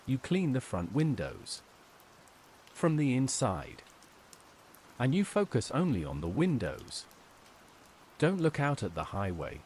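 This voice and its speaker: A man's voice: mid-range voice, darkish tone, slight background noise. A man voicing a tired, stern older diner waitress in a horror story, giving grave, cryptic instructions.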